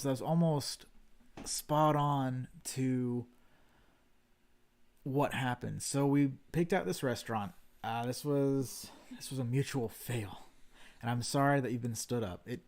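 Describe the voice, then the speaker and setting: A man co-hosting a casual livestream chat, telling a long story in a rambling, self-deprecating way. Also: medium pitch. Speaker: stream co-host. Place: home livestream setup.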